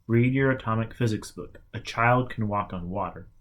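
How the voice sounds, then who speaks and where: A man voicing a calm, teasing male mystic teacher in an audiobook, speaking in short instructive commands. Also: lowish voice, moderate pace, natural voice.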